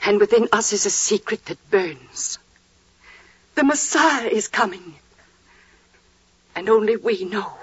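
A woman's voice: medium pitch, lively intonation, slow pace, long pauses, breathy edge, no room sound, exaggerated voice. An actress playing an earnest, resolute mother in a biblical 1940s radio drama, with solemn, dramatic delivery. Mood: solemn, urgent. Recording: muffled 1940s radio recording.

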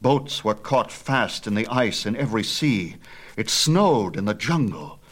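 A man narrating a myth as an audiobook storyteller, with a theatrical, measured and emphatic delivery. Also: fairly low pitch, expressive.

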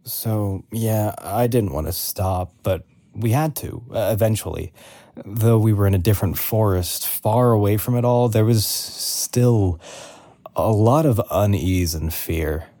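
Tired wry audio diary, low mellow voice, slow and hesitant. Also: male voice. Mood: weary, wry. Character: weary, wry young man recording an audio diary. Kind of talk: conversational first-person audio drama narration.

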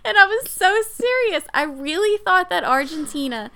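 A woman's voice: very high-pitched, animated, moderate pace, creaky, bright, forceful tone, a little hiss or hum. A woman co-hosting a podcast in casual chat, self-mocking and laughing often. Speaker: podcast co-host. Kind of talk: casual chat about movies and shows.

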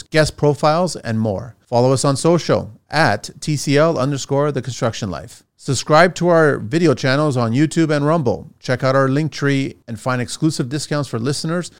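A middle-aged man hosts a podcast, chatting in an easygoing way with his guests. His voice is smooth and clear, at a middle pitch. When he reads promos, he moves through them briskly. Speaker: podcast host. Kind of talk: casual interview chat.

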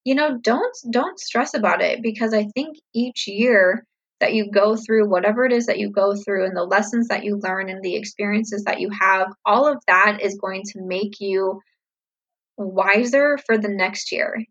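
A woman's voice: mid-range voice, moderate pace, a bit bright, slightly rough, highs cut off. A woman in her thirties answering viewer questions in a casual, upbeat, reflective chat full of fillers. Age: 30s.

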